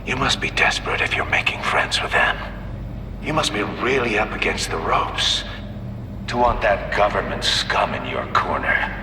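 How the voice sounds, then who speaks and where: An actor playing a gloating criminal mastermind in a video game, theatrical and taunting, with drawn-out menace. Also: male voice, lowish voice, big pitch swings. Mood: taunting, menacing. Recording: long reverb, noisy ambience behind voice.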